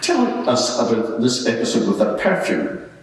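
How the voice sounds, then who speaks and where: A man acts out a sceptical advocate in a courtroom drama. He presses with pointed, leading questions in a lively, swooping delivery. The sound is distant and heavily reverberant, as in a big hall. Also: mid-range voice, normal speed. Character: probing, sceptical male advocate cross-examining a witness. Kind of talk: dramatised courtroom cross-examination. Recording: echoey hall, distant microphone.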